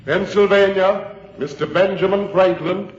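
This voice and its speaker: An actor playing a formal congressional clerk calling out a roll in a radio drama. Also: male voice, high-pitched, fairly even intonation, a little slow. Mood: official. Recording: dull old radio recording, echoing hall.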